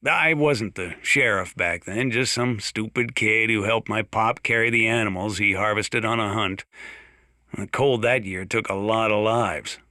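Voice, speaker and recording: Folksy American mountain sheriff, bright gritty edge. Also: male voice, fairly low pitch, unhurried, no room sound, clean studio sound. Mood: reflective storytelling. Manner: folksy, wry, drawling storyteller. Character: folksy, gruff, sardonic older small-town mountain sheriff. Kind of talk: in-character radio monologue for a video game.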